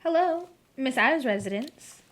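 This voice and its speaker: A woman's voice: high-pitched, expressive, moderate pace, fairly bright tone. An actress playing a formal, guarded woman answering a household telephone in a radio drama, polite and matter-of-fact.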